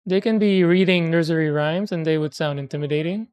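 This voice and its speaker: A woman's voice: low voice, fairly quick, darkish tone, clean tone. Chatty woman with a Filipino accent. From Philippines. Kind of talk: casual chat.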